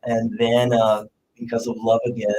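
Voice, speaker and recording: A man's voice: mid-range voice, monotone, normal speed, warm tone, a little hiss or hum, low-quality recording. A man from Puerto Rico, a jazz guitarist chatting as a guest in an interview, easygoing and rambling. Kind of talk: casual interview chat.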